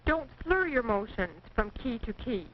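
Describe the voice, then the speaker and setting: An American woman reading scripted 1940s training film narration in a precise, measured, instructive manner. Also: a little high, sing-song. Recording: dull, noisy 1940s film soundtrack.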